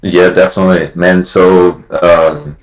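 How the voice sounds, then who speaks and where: A man from Brazil, a company CEO chatting as a guest on a livestream, halting and full of "you know". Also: sits low, little pitch movement, echoey room. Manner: halting, many restarts and "you know" fillers. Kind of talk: casual livestream chat.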